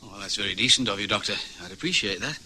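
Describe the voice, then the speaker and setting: A man's voice: on the low side, animated, rapid-fire, pushed and bright, a little hiss or hum, rough recording. An actor playing an earnest, anxious young man newly arrived from Australia, polite and concerned, in a vintage radio drama. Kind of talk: 1950s-60s radio drama acting.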